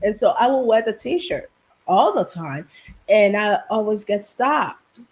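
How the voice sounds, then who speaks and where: A woman from Haiti raised in New Jersey, a business owner telling her story softly as a guest on a video call. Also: mid-range voice, expressive, a little slow. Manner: soft-spoken storytelling with laughs, quiet. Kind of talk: casual interview chat.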